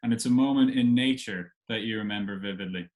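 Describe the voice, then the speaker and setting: A man's voice: fairly low pitch, a little fast, a little mellow. A man hosting a podcast, interviewing casually in a hesitant, informal way. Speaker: podcast host.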